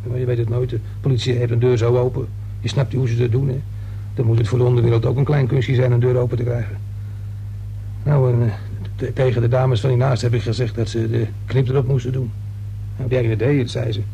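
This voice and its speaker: A man's voice: medium pitch, level tone, fast, gravelly, mellow, highs cut off, a little hiss or hum, natural voice. A man acting a nervous, chatty working-class fellow in a Dutch radio play, hesitant, rambling and colloquial. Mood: gossipy.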